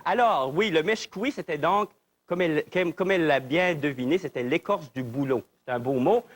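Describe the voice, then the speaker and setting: A man's voice: fairly high pitch, a little fast. A man hosting a television game show in a chatty, jovial, exclamatory manner. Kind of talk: live presenting, chatty. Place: television studio.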